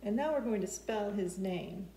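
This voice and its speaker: A woman's voice: mid-range voice, expressive, moderate pace, warm tone. A woman in her forties hosting a storytime for preschoolers, explaining things simply and asking the children questions. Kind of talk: speaking to preschoolers, instructional. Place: living room with open kitchen at home. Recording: roomy echo, noticeable background noise.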